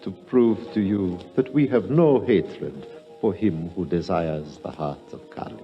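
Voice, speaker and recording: An actor playing a calm, formal older temple priest in a 1950s radio drama, speaking in a measured, courteous way. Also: male voice, deep voice. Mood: ceremonious. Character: elder priest of an Indian temple, calm, formal, enigmatic man. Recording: dull vintage 1950s radio recording, faint music.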